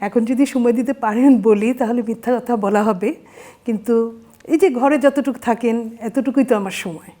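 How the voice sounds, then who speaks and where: A woman with a Bangladeshi accent giving earnest interview answers in measured, halting phrases. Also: on the high side, dark tone. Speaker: interview guest, minister's wife.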